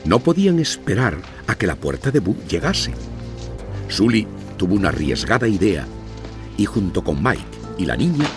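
A man narrating a children's story in an expressive, theatrical scripted style. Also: lowish voice, moderate pace, music in the background.

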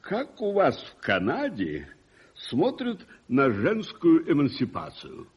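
Pompous lord with swooping, husky voice. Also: male voice, on the high side, huge pitch swings, slow pace, full character voice. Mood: courteous, hearty. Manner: pompous, emphatic, declamatory. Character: opinionated wealthy older English lord, teasing and protective godfather. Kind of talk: 1980s Soviet radio play acting. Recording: muffled 1980s radio drama recording.

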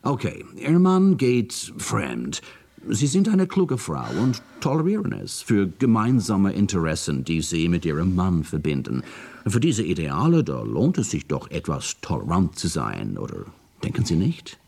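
A man's voice: low-pitched, huge pitch swings, unhurried, creaky, darkish tone, slightly rough, heavily acted. An actor playing a cynical male corporate spy, mocking in tone and slipping words into his talk. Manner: mocking, mixes English phrases into German. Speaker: industrial spy. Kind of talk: radio drama acting.

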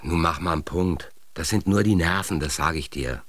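An actor playing a gruff, dismissive brother-in-law with a husky voice in a radio drama. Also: male voice, low-pitched, moderate pace, fairly bright tone, no room sound.

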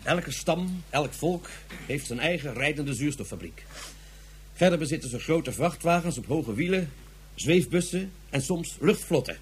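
A man's voice: on the high side, expressive, unhurried, a little hiss or hum. An actor playing an officious male police inspector, speaking in a bureaucratic, pompous way. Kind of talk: radio drama acting.

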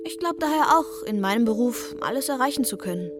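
A woman in her twenties reading a scripted, reflective narration calmly. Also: a little high, brisk. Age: 20s. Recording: music underneath.

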